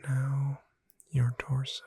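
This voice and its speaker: A woman playing a gentle witch bookseller in a breathy, soothing, whispered ASMR sleep roleplay. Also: very deep voice, completely flat delivery, dry recording, studio quality, exaggerated voice. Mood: calm, soothing. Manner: slow, soothing, deliberate. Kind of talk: soft-spoken ASMR sleep roleplay, guided relaxation.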